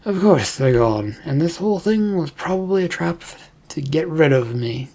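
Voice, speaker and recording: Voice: mid-range voice, a little slow, gravelly, darkish tone, husky. A narrator voicing a distrustful young man in a storybook, muttering, hesitant and sarcastic. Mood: grumbling. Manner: muttering, stammering, grudging.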